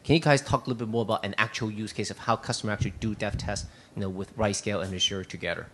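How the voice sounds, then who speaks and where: A man with a fairly low voice asks the panel a detailed question from the audience. His delivery is conversational and informal, sprinkled with "you know". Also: steady tone, very fast, background noise. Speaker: audience member asking a question.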